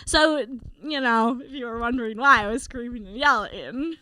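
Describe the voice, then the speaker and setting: High bright comic lady, tells stories all animated and laughy. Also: moderate pace, clean tone, dry recording. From Canada. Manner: animated storyteller, laughs often. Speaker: stand-up comedian guest. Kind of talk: casual podcast chat.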